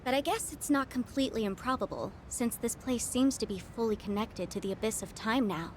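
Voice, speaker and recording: Actress voicing a calm, analytical young woman. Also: a little high, slight background noise, lightly acted. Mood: thoughtful. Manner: measured, analytical speech. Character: calm, thoughtful young woman navigating a maze. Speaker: video game character.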